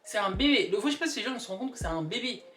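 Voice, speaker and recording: Animated woman vlogging in an echoey room. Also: medium pitch, rapid-fire. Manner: animated, emphatic, repeats words for effect. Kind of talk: casual comedic opinion chat to camera. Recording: echoey room, distant mic, background music.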